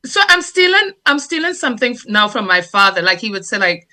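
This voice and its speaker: A woman from Grenada with a Grenadian accent, a guest telling her life story in an interview with a measured, presentational way of speaking. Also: a little high, sing-song, pushed and bright. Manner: articulate, measured, presentational delivery. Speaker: guest, dancer and performer.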